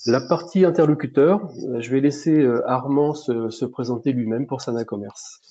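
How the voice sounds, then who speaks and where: Male webinar host, hesitant delivery, warm dark voice, lo-fi sound. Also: medium pitch, normal speed. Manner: hesitant, many fillers and restarts. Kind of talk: webinar presentation. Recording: dull lo-fi webinar audio.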